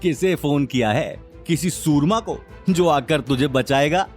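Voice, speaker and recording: A man's voice: on the high side, a little slow, faint music behind, close to an everyday voice. Man acting a sneering, bullying shop salesman. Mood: taunting. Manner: sneering, taunting, threatening. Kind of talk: Hindi audio drama acting.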